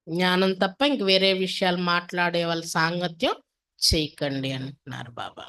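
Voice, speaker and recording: A woman's voice: on the low side, fairly even intonation, moderate pace, a bit bright. A woman teaching a spiritual class in a didactic way, posing questions and repeating refrains. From India. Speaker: spiritual teacher reading and explaining a daily discourse. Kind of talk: devotional sermon to a class.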